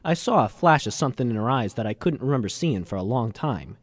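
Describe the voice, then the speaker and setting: A male actor as a hard-boiled American reporter in his thirties, with a very animated delivery and wisecracking slang. He narrates a noir radio drama in a dry, close recording. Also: medium pitch, fast talker, dry recording, lightly acted. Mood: musing. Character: wry, hard-boiled American ex-journalist of thirty-two in 1939.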